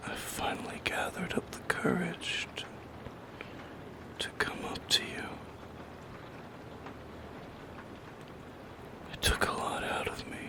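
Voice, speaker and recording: Man whispering as a slow, shy shadow creature. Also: fairly high pitch, exaggerated voice. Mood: shy yearning. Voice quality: breathy whisper with creaky edges. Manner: halting, soft-spoken, intimate, musing. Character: lonely, shy, yearning shadow creature lurking by the bed. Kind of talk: ASMR roleplay monologue.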